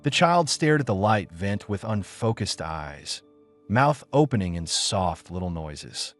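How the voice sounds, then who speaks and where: Low-pitched man narrating, lively intonation. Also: a little slow, soft background music. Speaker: audiobook narrator. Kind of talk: scripted dramatic narration of a sci-fi story.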